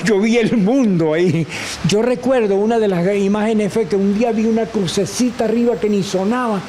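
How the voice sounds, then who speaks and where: An older man with a Venezuelan accent, a guest telling animated stories and acting out the voices of people he quotes. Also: high voice, a little hiss or hum. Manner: expressive, acts out quoted voices, laughs often. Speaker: guest, actor and teacher. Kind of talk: animated storytelling.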